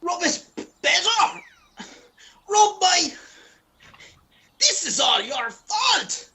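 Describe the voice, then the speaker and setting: A performer in a comic radio play as an exasperated, injured Irish man, loud and wailing with indignation. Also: very high voice, very animated, extremely slow, a little hiss or hum, heavily acted. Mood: angry.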